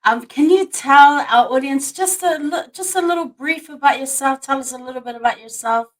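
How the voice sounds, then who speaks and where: A woman's voice: sits high, normal speed. A woman hosting a podcast over a video call, chatting casually and laughing often, calling her guest "sis". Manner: bubbly, laughs often, says "sis" and "fam". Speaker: podcast host. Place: Japan.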